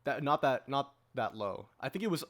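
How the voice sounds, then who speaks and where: A man's voice: mid-range voice, lively intonation, moderate pace, fairly bright tone. A young man on a podcast panel, arguing his picks in a casual, assertive and emphatic way. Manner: emphatic, assertive, argues from results. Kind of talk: casual opinionated debate.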